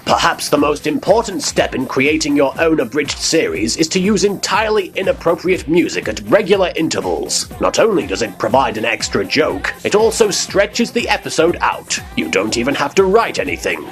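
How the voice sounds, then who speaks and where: Guy doing a smug pompous how-to host, sarcastic comedy narration, really animated pitch. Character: smug, pompous male tutorial host with an inflated ego. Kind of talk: scripted comedic tutorial narration.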